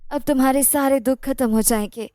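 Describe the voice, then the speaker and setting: A woman's voice: high voice, steady tone, fairly quick, mellow, dry recording, studio quality, lightly acted. A woman voicing the tender ghost of a dead mother in a cartoon story, soothing and reassuring. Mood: comforting. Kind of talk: Hindi cartoon moral story.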